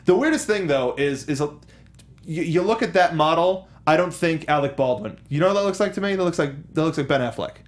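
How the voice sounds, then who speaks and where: A man co-hosting a movie show, giving conversational commentary in an animated way and acting out imagined quotes. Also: fairly high pitch, fairly quick. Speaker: co-host of a movie discussion show.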